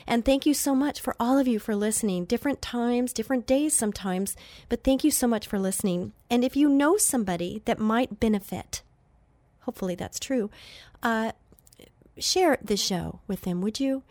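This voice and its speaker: A woman's voice: fairly high pitch, darkish tone, dry recording. Nasal-sounding woman hosting faith radio. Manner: chatty, earnest, with many fillers and restarts. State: nasal from allergies. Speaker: radio show host.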